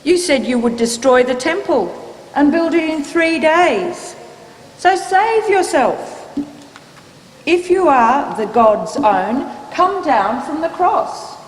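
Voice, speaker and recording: A woman's voice: high voice, lively intonation, slow. A woman playing a taunting, scornful onlooker at an execution in a dramatic scripture reading, projecting her mockery. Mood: mocking. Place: large church, live reading. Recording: echoey church hall, background noise.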